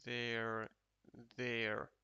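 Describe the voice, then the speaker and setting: A man with a Finnish accent giving casual, unhurried commentary on a board game match for a live stream, chatty and full of fillers. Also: medium pitch, monotone, pushed and bright, smooth, highs cut off.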